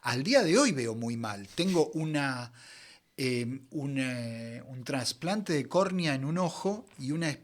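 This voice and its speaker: A middle-aged Argentine man from Buenos Aires telling anecdotes conversationally as an interview guest, in an expressive, theatrical way. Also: mid-range voice, takes it slowly. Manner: expressive storyteller, acts out quoted dialogue. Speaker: interview guest, veteran voice actor.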